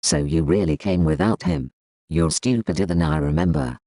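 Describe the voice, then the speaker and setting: A man's voice: deep voice, very animated, normal speed, vocal fry, mellow, no echo, full character voice. An actor playing a bitter, defiant scorned woman in a confrontational audio drama scene. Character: defiant, scorned woman demanding her husband back.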